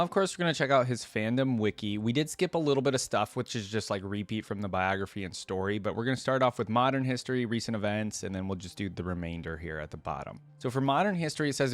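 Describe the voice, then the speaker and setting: A man giving casual, informal reaction commentary, chatting as he thinks out loud and sometimes reading text aloud. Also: on the low side, no echo. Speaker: reaction video host.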